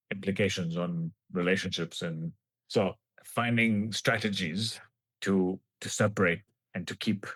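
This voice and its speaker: A man in his forties from Kenya speaks as a scientist guest, his voice sitting low. He talks in a thoughtful, halting way and often restarts his phrases. The sound is close and dry. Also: fairly bright tone, slightly rough, no echo. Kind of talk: reflective interview answers.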